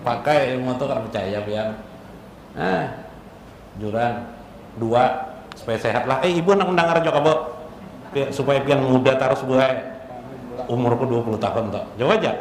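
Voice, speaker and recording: A man's voice: mid-range voice, normal speed, pauses often, darkish tone. Banjar man preaching in an echoey room. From South Kalimantan, Indonesia. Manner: conversational, jocular, punctuated with rhetorical questions and tag words. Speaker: Islamic preacher. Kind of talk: informal sermon with jokes, mixing local speech and Arabic phrases. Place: indoor religious gathering. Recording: echoey room with faint background noise.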